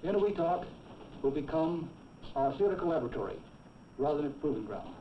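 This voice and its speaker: An American man in his fifties delivering a formal, emphatic and deliberate briefing as a director. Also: fairly high pitch, big pitch swings, slight background noise. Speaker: laboratory director. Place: indoor meeting room. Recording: echoey, distant, muffled old film soundtrack.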